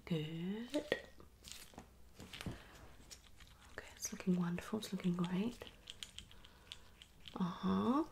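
A British woman in her thirties speaking in a hushed, reassuring ASMR roleplay style as a dermatologist, half whispering in short repeated phrases. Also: lowish voice, extremely slow, gravelly, pauses often, noisy background. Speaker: ASMR creator playing a dermatologist. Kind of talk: ASMR medical roleplay, soft-spoken and whispered. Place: small room at home.

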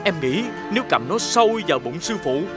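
A man voicing an excitable, soft-hearted little demon in an animated fairy tale, with a childlike manner. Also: a little high, brisk, music in the background. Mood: excited.

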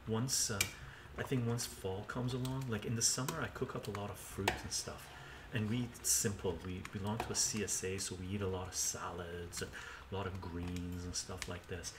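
A man's voice: sits low, flat delivery, normal speed. An older man hosting a cooking live stream in his home kitchen, chatting easily and narrating each step aloud. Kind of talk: casual chat while cooking, reading chat. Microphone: camera mic some distance away. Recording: roomy, distant, noisy kitchen stream audio.

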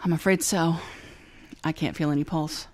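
An actress playing Candy Matson, a brisk, wry, no-nonsense private eye who also narrates. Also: female voice, deep voice, steady tone, no echo, lightly acted. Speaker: narrator and lead detective. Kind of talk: live 1950s radio drama acting.

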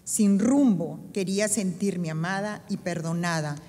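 A woman's voice: fairly low pitch, normal speed, warm tone. A middle-aged woman from Peru with a Peruvian Spanish accent, reading her personal testimony earnestly on a church stage. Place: church stage before an audience. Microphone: stand microphone. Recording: long reverb of a large church hall.

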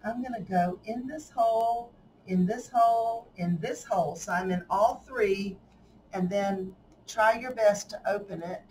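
A woman's voice: medium pitch, unhurried, darkish tone, far from the mic, low-quality recording. A woman with a Southern drawl walks through a craft project in a folksy, chatty way. Her voice sits slightly away from the mic in an indoor room with faint background noise. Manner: chatty, folksy, laughs often. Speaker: craft tutorial host. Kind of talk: casual hands-on craft demonstration.

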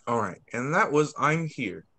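Animated man reading aloud to children. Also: medium pitch. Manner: expressive, drawn-out words, child-friendly asides. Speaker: teacher reading picture books to pupils. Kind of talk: animated read-aloud story time for young children. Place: indoors on a video call.

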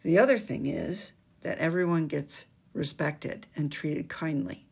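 Gravelly, husky older woman teacher. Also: low-pitched, unhurried, darkish tone. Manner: emphatic, sing-song teacherly delivery. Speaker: school staff explaining gym rules. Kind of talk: instructional school video. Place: small school office, indoors. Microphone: webcam at desk.

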